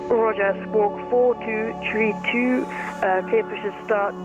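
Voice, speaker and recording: A man talks as a pilot, hesitating through radio calls and apologizing for his slips. His voice sits high and flat, and it comes over a muffled radio channel. Music plays clearly behind him. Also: slow pace. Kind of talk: radio phraseology.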